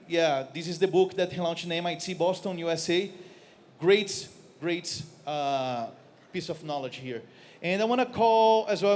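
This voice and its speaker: A man with a Brazilian accent, casually and playfully moderating a panel on stage as its host. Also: high-pitched. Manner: playful, jokey. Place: stage at a conference. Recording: long hall reverb.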